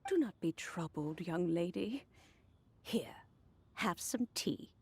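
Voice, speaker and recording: A serene old lady from a fantasy game. She takes her time over every phrase in a lowish voice that rises and falls with gentle teasing. She is gracious and kindly, with music behind her. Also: animated, breathy edge, exaggerated voice. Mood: serene, hospitable. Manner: unhurried, gracious. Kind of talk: video game voice acting.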